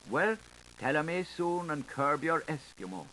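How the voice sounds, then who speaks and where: A man's voice: fairly high pitch, big pitch swings, measured pace, bright, forceful tone, exaggerated voice, poor audio. A man voicing an eager, nosy washerwoman in a dramatic audiobook reading, insistent and full of questions. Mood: impatient. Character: eager, nosy Irish washerwoman hungry for gossip. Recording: hissy 1985 tape recording.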